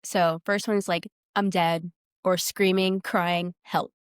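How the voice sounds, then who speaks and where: A woman's voice: fairly low pitch, flat delivery, slow, clean tone, no echo, studio quality. A young woman chatting casually as a podcast co-host. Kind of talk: casual chat.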